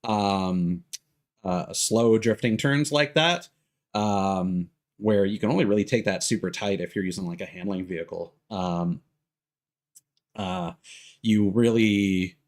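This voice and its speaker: A man's voice: fairly low pitch, slow pace. A man giving casual, rambling, sweary commentary as he streams a video game. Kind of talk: casual gaming livestream commentary. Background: game music.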